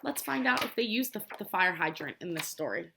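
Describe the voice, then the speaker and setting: An American woman, a preschool teacher, reading a picture book aloud in simple, expressive language and prompting young children with questions. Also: lowish voice, fairly quick, vocal fry, a little hiss or hum.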